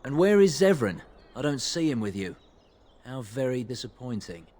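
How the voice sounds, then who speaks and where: An actor playing a mocking assassin villain in a video game, taunting and theatrical. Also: male voice, mid-range voice, very animated, normal speed, a little mellow, slight background noise, natural voice.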